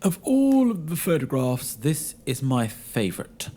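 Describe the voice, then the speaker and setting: Man acting a slow-spoken, wistful English clergyman. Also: a little high, wildly swooping pitch, dark tone, exaggerated voice. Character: gentle, melancholy English clergyman. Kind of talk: audio drama acting.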